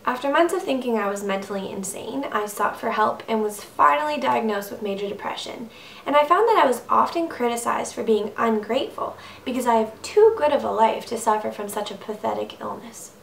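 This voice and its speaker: A woman's voice: on the high side, brisk, creaky. Young woman reciting slam poetry, roomy distant recording. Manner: earnest, emphatic, rhythmic rhyming delivery. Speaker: slam poet. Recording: roomy, distant mic, faint noise.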